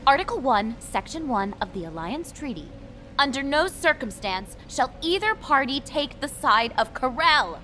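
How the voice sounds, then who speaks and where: A woman's voice: high voice, big pitch swings, normal speed, sharp tone, slight background noise, exaggerated voice. A woman playing an indignant alliance officer in a video game, speaking in an emphatic, accusatory way. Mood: indignant, urgent.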